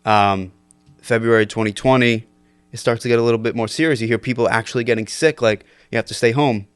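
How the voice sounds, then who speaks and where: A man's voice: medium pitch, steady tone, fast, clean tone, no echo. A young man in his twenties telling stories on a casual podcast, loose and laughing with lots of fillers. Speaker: podcast guest. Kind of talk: casual storytelling chat.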